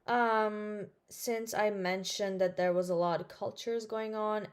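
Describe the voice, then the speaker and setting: A woman's voice: mid-range voice, steady tone, slow, smooth. A woman in her twenties from Turkey with a Turkish accent, narrating a personal vlog in an earnest, careful way with some hesitant fillers. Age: 20s. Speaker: student vlogger.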